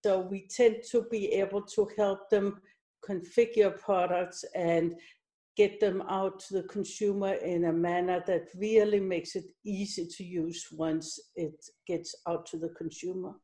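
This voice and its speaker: Mellow middle-aged woman. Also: fairly low pitch, fairly even intonation, a little slow. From Denmark. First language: Danish. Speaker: company executive being interviewed. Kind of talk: conversational interview answers.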